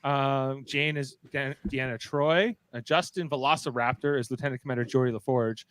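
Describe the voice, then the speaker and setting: A man's voice: medium pitch, steady tone, normal speed, a bit bright, slight background noise. A man hosting and narrating a live amateur radio play in an informal, playful way. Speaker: host and narrator.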